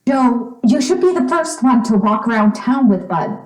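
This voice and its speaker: The voice is high and echoes in the room. It is a woman performing an earnest, pleading young woman in an old-time radio drama, with lively pitch. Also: normal speed, natural voice. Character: earnest young woman, peacemaker between two friends. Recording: roomy, echoing recording.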